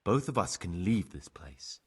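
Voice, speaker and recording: An actor playing a remorseful ex-bandit turned novice monk in a game cutscene, husky, measured and persuasive. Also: male voice, low-pitched, big pitch swings, heavily acted. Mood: persuasive, pragmatic. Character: remorseful young ex-bandit novice monk, sly pragmatic bargainer. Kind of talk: dramatic game cutscene acting.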